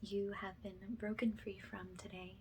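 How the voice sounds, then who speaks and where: A woman speaking softly and breathily, often slipping into a whisper, gently reassuring in the style of a guided ASMR energy-healing session. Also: mid-range voice, little pitch movement, a little hiss or hum. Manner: gentle, slow, reassuring, affirming.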